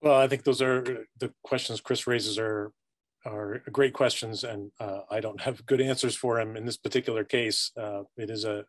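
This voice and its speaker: A middle-aged man giving a measured, halting answer as an archivist in an online academic discussion. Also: fairly low pitch, steady tone, no echo. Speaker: archivist panelist. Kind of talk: academic discussion on a video call. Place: book-lined office, indoors. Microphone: video call, close to computer.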